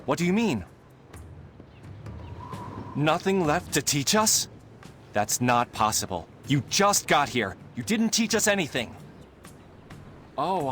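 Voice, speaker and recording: A man's voice: a little high, fairly bright tone, a little hiss or hum. A man voicing a devoted, overeager young martial arts disciple in a video game, earnest and grandiose. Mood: eager, then puzzled, then awed realization. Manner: grandiose, theatrical, fawning. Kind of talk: video game character acting.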